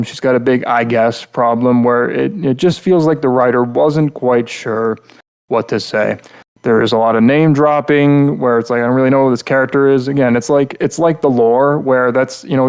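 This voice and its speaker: A man co-hosting a podcast, talking through a casual critique in a rambling, halting way and often repeating words mid-thought. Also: medium pitch, clear, resonant tone. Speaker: podcast host reviewing game characters.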